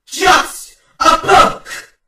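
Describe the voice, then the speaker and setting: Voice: very slow, roomy sound, recorded from a distance, a loud crowd. A performer voicing a volatile female pony princess, imperious and theatrical, with menacing politeness, in a storybook reading. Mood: explosive rage.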